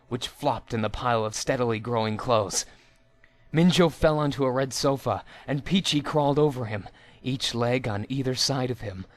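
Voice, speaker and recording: Man giving a theatrical story reading. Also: mid-range voice. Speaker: amateur story reader. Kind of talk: dramatic scripted narration of a story.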